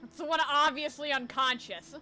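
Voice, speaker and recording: A woman giving loud, slangy, casual let's play commentary as a gaming video host. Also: high-pitched, fairly even intonation, moderate pace, a little hiss or hum.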